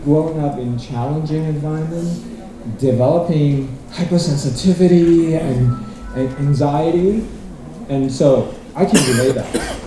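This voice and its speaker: Middle-aged hong kong guy rambling through a talk, mellow voice, heard from far back in a big echoey hall. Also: fairly high pitch, a little hiss or hum. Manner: rambling, self-deprecating, restarts often. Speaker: guest speaker. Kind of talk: conference talk. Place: large lecture hall.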